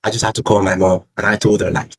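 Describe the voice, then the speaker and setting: A man's voice: fairly low pitch, little pitch movement, fast talker, slightly rough, dry recording, studio quality. A young man from Nigeria with a Yoruba accent, giving earnest personal advice in a vlog and repeating phrases for emphasis. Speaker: vlogger. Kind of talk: personal advice talk to camera.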